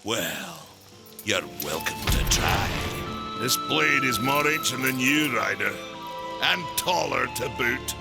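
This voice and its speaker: An actor playing a gruff, proud old warrior of a fantasy maker race in a video game, wry and challenging. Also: male voice, medium pitch, lively intonation, slow. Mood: defiant. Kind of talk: dramatic fantasy game acting. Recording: loud music behind the voice.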